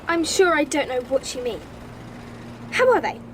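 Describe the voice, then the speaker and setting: A prim, snobbish schoolgirl in a radio play. Her voice is high, with affected, posh English diction. Faint noise can be heard behind it. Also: big pitch swings, moderate pace. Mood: haughty curiosity. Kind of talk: radio drama acting.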